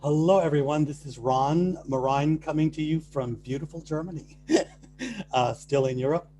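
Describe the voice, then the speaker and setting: A man's voice: fairly high pitch, normal speed, dark tone, a little hiss or hum. A man greeting everyone cheerfully as a panelist on an online panel over a video call.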